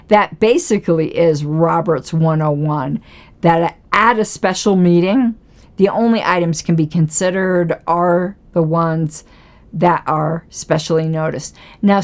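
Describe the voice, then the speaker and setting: Hoarse woman, low-pitched, hosting a political livestream, argumentative, fond of rhetorical questions. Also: a little mellow. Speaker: political commentary livestream host. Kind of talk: casual livestream commentary.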